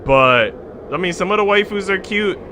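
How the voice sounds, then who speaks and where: A man's voice: high voice, expressive, normal speed, noisy background. A man hosting a game livestream, moving between casual, jokey rambling and reading story narration aloud. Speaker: game streamer, host.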